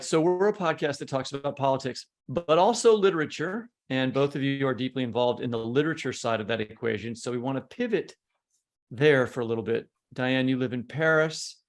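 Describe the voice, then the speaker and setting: A man hosts a conversational podcast interview. His voice sits at an ordinary male pitch and carries a clear creak. He speaks as someone putting questions to guests. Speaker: podcast host.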